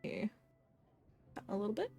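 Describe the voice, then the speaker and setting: A woman doing casual live video game commentary, thinking aloud in a playful way. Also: a little slow. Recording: faint game music behind the voice. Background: game soundtrack.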